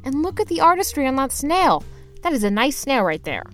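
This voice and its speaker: A woman playing a scrappy, broke young mover who tells their misadventures in an expressive, slangy, playfully dramatic comedy audio-drama style. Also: high-pitched, fairly quick, faint music behind. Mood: salesy, cheeky. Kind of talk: first-person narrated comedic audio drama with acted dialogue.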